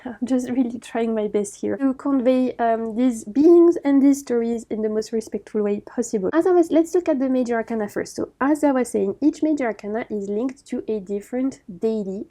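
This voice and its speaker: A woman's voice: a little high, mellow. A woman chatting enthusiastically through a tarot deck review, often correcting herself mid-sentence. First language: not Chinese. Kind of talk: casual review walkthrough.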